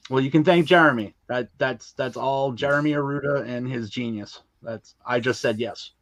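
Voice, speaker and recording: Male podcast guest, medium pitch, colloquial and emphatic, very dry sound. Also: moderate pace, no room sound. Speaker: guest, audio drama writer and filmmaker. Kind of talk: casual podcast interview.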